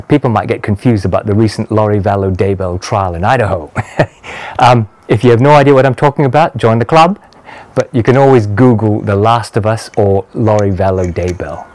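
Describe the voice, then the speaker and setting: A man in his sixties giving a casual product review to camera in a measured, understated, conversational way. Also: lowish voice, darkish tone. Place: small walled garden patio, outdoors. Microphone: lapel mic.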